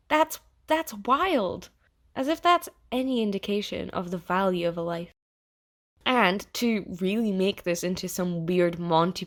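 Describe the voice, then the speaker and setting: An earnest, wry artificial intelligence, played by a woman speaks straight to the listener in an audio drama monologue. The voice sits slightly high and moves at an unhurried pace. It sounds conversational and human, halting and leaning on pauses, both fond of people and disappointed in them.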